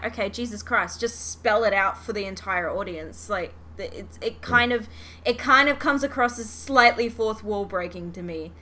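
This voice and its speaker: A woman's voice: medium pitch, bright, forceful tone. A woman hosting a podcast, chatting casually and expressively about a show, with some swearing. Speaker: podcast host. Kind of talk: casual fan chat reviewing a show.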